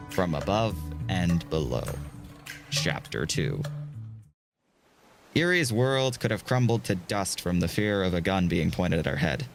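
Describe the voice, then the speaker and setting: A man hosting and narrating an audio drama in a formal, theatrical scripted style. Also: low voice. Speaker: host and narrator.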